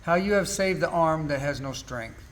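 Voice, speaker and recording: A man's voice: a little high, lively intonation, moderate pace, a little hiss or hum, close to an everyday voice. An amateur actor, a man, playing a suffering, bitter but steadfast man in a solemn, declamatory Bible drama reading. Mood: bitterly sarcastic. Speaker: church class amateur actor.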